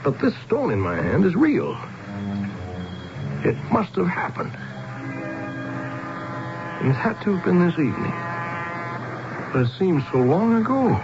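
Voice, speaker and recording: An actor playing a bewildered, earnest middle-aged commuter in a halting, brooding radio-drama style. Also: male voice, high voice, huge pitch swings, over music, exaggerated voice. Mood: stunned, brooding. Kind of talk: 1970s radio drama acting. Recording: muffled vintage radio drama recording.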